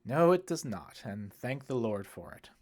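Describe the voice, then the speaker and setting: A man voicing a kindly, musing priest in an audiobook, speaking in a reflective, explanatory way with gentle wit. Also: medium pitch, sing-song, a little slow. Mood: fond, earnest. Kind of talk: audiobook character dialogue.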